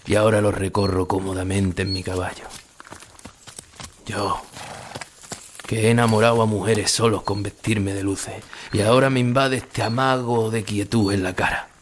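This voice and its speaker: Ageing retired matador, played by a male actor, low-pitched, wistful and brooding, for an audio drama monologue. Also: background noise, close to an everyday voice. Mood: grieving, wistful. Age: older. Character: ageing melancholy retired Spanish bullfighter musing on his past.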